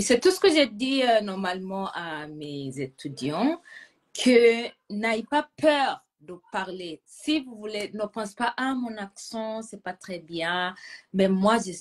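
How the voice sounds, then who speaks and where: A woman's voice: medium pitch, lively intonation, unhurried. A woman who hosts the talk and teaches languages, speaking in an encouraging, teacherly way in a language that is not her first. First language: not French. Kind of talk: casual conversation.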